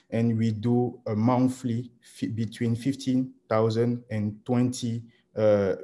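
A man, presenting a startup pitch as its founder over a video call. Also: dark tone. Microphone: video call microphone.

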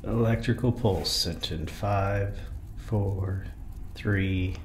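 A man's voice: sits low, drawn-out words, echoey room. A man playing a reluctant lab technician in an audio drama, giving procedural announcements. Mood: tense, procedural. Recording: loud noise and clicks behind.

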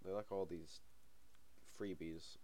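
A young man with a cold talks over a game in a low, deep voice, sniffling as he goes. He rambles, hesitates with plenty of ums, and chuckles to himself now and then. It sounds like an easygoing home let's-play. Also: fairly even intonation, slow, mellow. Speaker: gaming channel host. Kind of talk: casual let's-play commentary.

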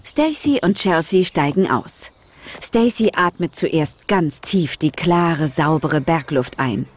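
Low-pitched woman storyteller. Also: lively intonation, slow pace. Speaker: narrator. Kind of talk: scripted storybook narration in present tense. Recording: music clearly under the narration.

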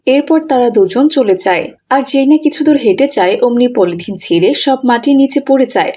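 A woman's voice: a little high, expressive, normal speed, a little mellow. A woman narrator reading a scripted story for children.

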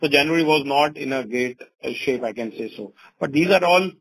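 Husky-voiced Indian businessman. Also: a little high, brisk, rough recording. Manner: wordy, repeats "you know" often. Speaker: company executive. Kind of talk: answering investors on an earnings call.

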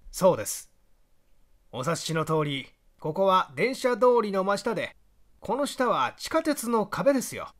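A man's voice: high voice, fairly bright tone, voice pushed up, heavily acted. A man reading an audiobook as a confident male investigator, explaining emphatically and politely. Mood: assured explaining. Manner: emphatic, expository, polite address. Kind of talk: audiobook character dialogue.

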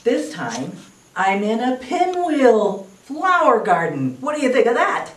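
An older woman reading at a children's library storytime in a slow, animated, sing-song way. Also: a little high. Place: library room with wood paneling. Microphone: far camera. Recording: echoey room from a distance.

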